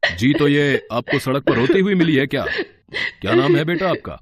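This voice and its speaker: A man voicing a measured, courteous police inspector in an audio story. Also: fairly high pitch, sing-song, husky. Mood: calm, official. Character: calm, official police inspector.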